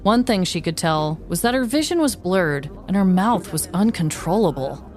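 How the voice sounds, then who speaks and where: A woman's voice: fairly low pitch, lively intonation, moderate pace, a little mellow, soft background music. A woman podcast host narrating a scripted comedic story.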